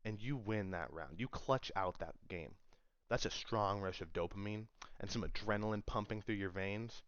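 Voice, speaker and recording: A man's voice: on the low side, normal speed, dry recording, muffled recording. A young man giving an informal speech to an audience in a conversational, emphatic way, repeating phrases for stress. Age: young adult. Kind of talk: informal public-speaking presentation to an audience.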